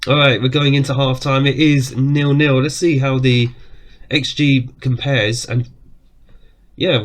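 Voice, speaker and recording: A man with a cough talks his way through a football management game from his desk. His voice sits at a middle pitch, and his commentary is casual and chatty, with quick reactions to saves and shots. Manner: chatty, reacts aloud to match events. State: has a cough. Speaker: gaming YouTuber.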